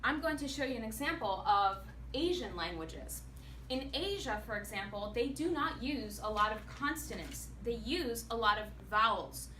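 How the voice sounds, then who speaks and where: Bright, animated woman giving a speech. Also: fairly high pitch, normal speed. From United States. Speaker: public speaker, actor and singer. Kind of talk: prepared club speech. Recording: echoey room, mic far off, faint noise.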